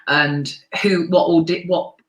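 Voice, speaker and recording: An English woman with a deep voice, a singer chatting as an interview guest, laughing easily and often restarting her sentences. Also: steady tone, unhurried, roomy sound. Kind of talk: conversational interview.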